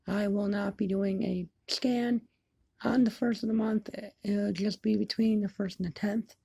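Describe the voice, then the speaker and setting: A woman chatting casually to viewers as a hobbyist video maker, in a halting, repetitive, plain-spoken way. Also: mid-range voice, vocal fry, warm tone, breathy edge, no echo. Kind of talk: casual chat to camera. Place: indoors in an apartment, by a window.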